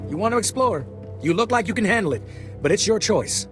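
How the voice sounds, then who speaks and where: An actor playing a curt, bureaucratic army officer in a video game, official and dismissive. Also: male voice, high voice, expressive, brisk, fairly bright tone, soft background music.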